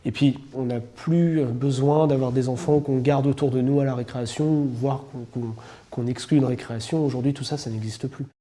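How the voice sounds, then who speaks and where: A man with a very dark, soft-edged voice speaks quickly at a middling pitch. He sounds like a school educator explaining his work in an interview. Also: fairly even intonation. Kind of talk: interview testimonial.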